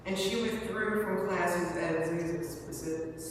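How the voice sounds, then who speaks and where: Female memorial reader, halting measured delivery, distant in a reverberant hall with faint noise. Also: lowish voice, steady tone. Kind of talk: scripted memorial reading. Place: large church hall, indoors. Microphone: far from the speaker. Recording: echoey church hall, distant mic, noisy.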